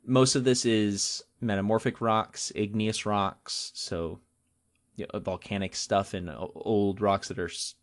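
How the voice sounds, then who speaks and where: A man co-hosting a podcast, explaining natural history in a casual, informal and jokey way with drawn-out emphasis on key terms. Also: fairly low pitch, steady tone. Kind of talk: casual explanatory talk with a co-host.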